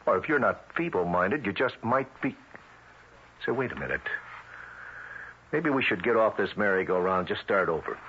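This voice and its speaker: An actor playing a tough, suspicious federal agent with a brusque, streetwise way of questioning, in 1970s radio drama style. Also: male voice, lowish voice, big pitch swings, moderate pace, pauses often. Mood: exasperated, probing. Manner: brusque, interrogating, streetwise wisecracking. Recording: dull, noisy vintage radio recording.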